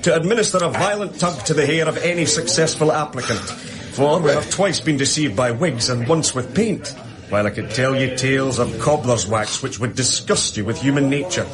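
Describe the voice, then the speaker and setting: An actor playing a pompous, effusive official in a radio drama, grandiose and theatrical in delivery. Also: male voice, medium pitch, expressive, normal speed, over music, lightly acted. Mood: officious. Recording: crowd murmur behind the voice.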